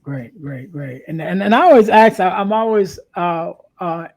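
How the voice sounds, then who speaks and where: Halting woman hosting a casual interview. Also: fairly low pitch, a little mellow. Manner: halting, repeats words. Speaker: podcast host.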